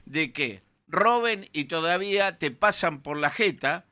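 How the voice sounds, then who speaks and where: A man's voice: fairly high pitch, lively intonation, a little slow, sharp tone. A man in his sixties with a Rioplatense Argentine accent, an emphatic and indignant radio panelist talking into a studio microphone. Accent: Rioplatense Spanish. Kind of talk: opinionated radio talk. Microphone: studio mic with headphones.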